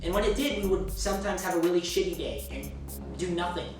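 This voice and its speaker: A man's voice: high voice, steady tone. A man with a nasal voice, candidly recalling making his album in a documentary interview. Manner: quick, candid, swears casually. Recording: very echoey room, distant mic, music underneath.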